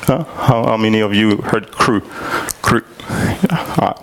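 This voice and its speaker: Gravelly man giving a tech talk. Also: lowish voice, unhurried, fairly bright tone, a little hiss or hum. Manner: hesitant, many fillers and restarts. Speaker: tech conference speaker. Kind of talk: live technical presentation. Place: conference hall.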